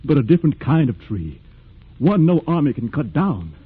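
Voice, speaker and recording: A man's voice: fairly high pitch, sing-song, normal speed, husky, full character voice. A man acting an earnest, fearless former slave and freedom fighter in a solemn, declamatory 1940s radio drama style. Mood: resolute, impassioned. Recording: muffled, noisy vintage radio drama recording.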